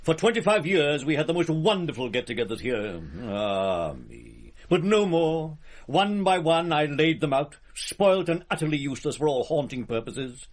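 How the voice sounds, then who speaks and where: Guy doing old ghost for radio play, pompous victorian murderer, voice swoops around, highish. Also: very animated, moderate pace. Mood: wistful, proud. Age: older. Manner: pompous, indignant, formal Victorian diction. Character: ghost of an elderly gentleman, proud, pompous murderer. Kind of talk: comic radio drama acting.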